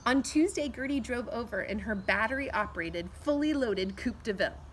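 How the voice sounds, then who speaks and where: A woman's voice: on the high side, lively intonation, moderate pace. A middle-aged American woman reading a children's picture book aloud outdoors, expressively drawing out words and adding asides for young listeners. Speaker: teacher reading aloud to pupils. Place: outdoors in a backyard patio. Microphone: camera a few feet away. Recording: faint outdoor background noise.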